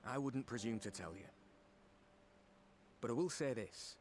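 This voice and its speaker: An actor playing an earnest, loyal friend in a fantasy video game, plain-spoken and encouraging. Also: male voice, medium pitch, expressive, measured pace, lightly acted. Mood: reassuring, warm. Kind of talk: dramatic video game voice acting. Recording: music behind the voice.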